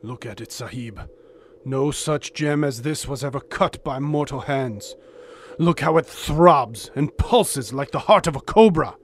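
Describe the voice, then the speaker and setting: An actor playing a loyal, superstitious desert companion in an audio drama, solemn and ominous, with archaic phrasing. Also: male voice, medium pitch, lively intonation, unhurried, slight background noise, close to an everyday voice. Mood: hushed awe.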